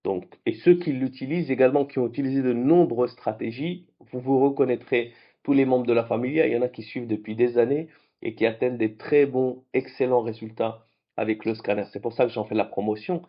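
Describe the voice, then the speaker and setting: Animated man on a slightly dull recording. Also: medium pitch, fairly quick. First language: French and Arabic. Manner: animated, conversational, with restarts. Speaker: trading channel host. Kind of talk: late-night vlog talk.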